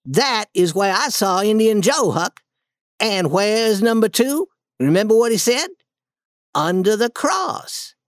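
Bold eager young boy, put on by a reader, storybook, high lively voice, confident, persuasive. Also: slow pace, dry recording, clean studio sound.

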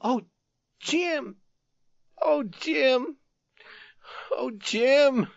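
A man's voice: very high-pitched, very slow, creaky, voice pushed up, full character voice. A man voicing an anguished young soldier in a storybook reading, crying out in a strained, frantic and tearful voice. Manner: excited, repeating, pleading. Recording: roomy, dull recording.

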